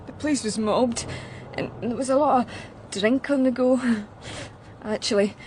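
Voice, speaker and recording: Actress playing young glasgow woman, grunge band type, highish voice, chatty and blunt but shaken, bit of background noise. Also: a little mellow, close to an everyday voice. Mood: wry, blunt. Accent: Glasgow Scottish. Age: young adult. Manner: colloquial, halting, rambling. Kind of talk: naturalistic radio drama acting.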